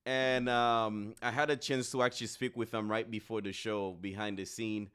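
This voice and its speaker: A man from Haiti hosting a podcast in an upbeat, chatty way, often repeating words and pausing on fillers. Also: on the low side, level tone, a bit bright, clean tone. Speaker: podcast host.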